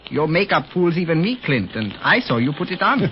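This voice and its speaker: Courteous chinese police helper, precise formal wording, swoopy pitch, old 30s radio serial. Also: male voice, big pitch swings, brisk, a little hiss or hum. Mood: calm, informative. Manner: formal, precise, uncontracted phrasing. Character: polite, helpful Chinese secret police ally. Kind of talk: 1930s radio serial acting. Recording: muffled old radio recording, music behind.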